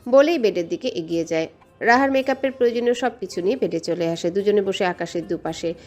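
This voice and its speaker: Woman, story narrator, mid pitch, scripted romantic narration, light background music. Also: fairly quick, a little mellow.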